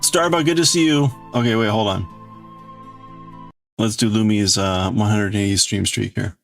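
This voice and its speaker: A man's voice: fairly low pitch, normal speed, gravelly, no room sound, soft background music. A man hosting a casual livestream, chatting in a rambling, exclamatory way as he greets and answers viewers. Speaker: livestream host. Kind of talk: casual livestream chat, crafting and reading out viewer chat.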